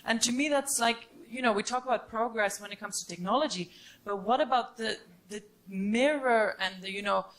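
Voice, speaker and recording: A woman's voice: fairly high pitch, normal speed, a bit bright, poor audio. A woman in her twenties from Norway, a student audience member giving a candid, unscripted comment and question at a debate.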